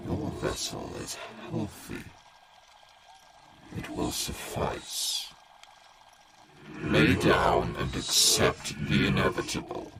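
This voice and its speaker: A man voicing a cold, menacing spirit that possesses a human body, speaking in slow, formal, ominous pronouncements in a horror audio drama. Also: very noisy, heavily acted. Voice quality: very deep, gravelly, breathy growl.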